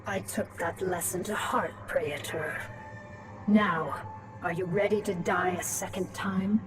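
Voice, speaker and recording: A woman's voice: on the low side, fairly even intonation, slow, distant mic, over music. An actress playing a ruthless, scheming alien queen who speaks in a menacing, mocking, commanding way in a video game. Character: ruthless, scheming alien swarm queen, once human, cold and gloating. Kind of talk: dramatic video game voice acting.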